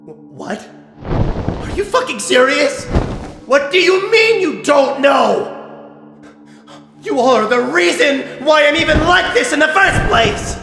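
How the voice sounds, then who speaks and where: A man's voice: extremely high pitch, big pitch swings, slow, creaky, long pauses, exaggerated voice. Man voicing a sardonic mutant sea creature, echoing. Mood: furious. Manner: theatrical, mocking, sarcastic drawl. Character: sardonic mutant male sea-creature prisoner, smug and bitter. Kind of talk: scripted comic dub voice acting. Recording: reverberant room sound, music underneath.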